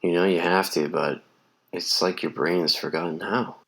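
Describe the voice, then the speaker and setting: Man as tender young seaman for audio drama; deep low voice, reassuring and sincere. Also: fairly even intonation, moderate pace, fairly bright tone. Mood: wistful. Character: gentle young sailor, wounded past, protective sweetheart.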